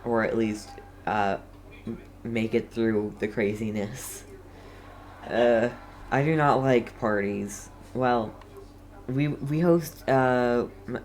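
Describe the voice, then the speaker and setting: Guy doing casual lets play commentary, talks really slow and halting, medium pitch. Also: steady tone. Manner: halting, rambling. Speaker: gamer playing through a story quest. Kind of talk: casual game commentary, reading dialogue aloud.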